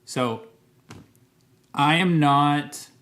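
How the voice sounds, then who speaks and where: A man's voice: a little high, drawn-out words, fairly bright tone. A Canadian man with English as his first language, talking casually to camera as a vlogger, thoughtful and careful, often hedging and restarting his sentences. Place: Montreal.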